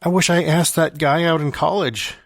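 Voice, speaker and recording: A man's voice: on the high side, steady tone, moderate pace, no echo, clean studio sound, close to an everyday voice. A man playing a wry, confessional dying man reading out his farewell letter in an audio drama. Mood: wistful regret. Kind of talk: dramatic monologue in fiction podcast.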